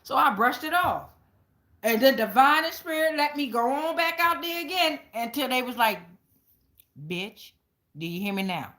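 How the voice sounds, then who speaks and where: A woman's voice: high-pitched, lively intonation, normal speed, pushed and bright. An American woman giving a casual, rambling tarot reading to viewers, blunt and slangy, with heavy cursing. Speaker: tarot card reader hosting her show. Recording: echoey room.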